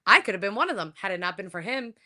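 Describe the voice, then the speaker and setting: A woman from Colombia, a wrestler, telling a story casually as an interview guest in an animated, halting way with emphatic repetition. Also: mid-range voice.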